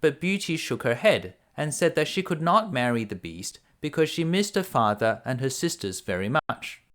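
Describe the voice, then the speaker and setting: Male children's story narrator: mid-range pitch, lively intonation, expressive scripted reading. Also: normal speed. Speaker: bedtime story reader.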